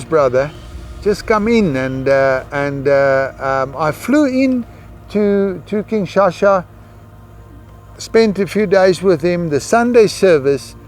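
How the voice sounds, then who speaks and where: A middle-aged South African man with an Afrikaans accent tells a personal testimony in a hesitant, reflective way, with frequent pauses. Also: high-pitched, a little mellow. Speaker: missionary giving a testimony. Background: background music.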